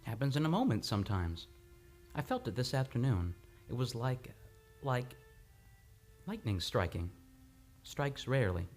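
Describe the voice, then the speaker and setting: An actor playing a charming but unstable doctor with dramatic intensity in an old-time radio play. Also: male voice, medium pitch, big pitch swings, unhurried, pauses often, a little mellow, soft background music. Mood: romantic. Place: radio studio.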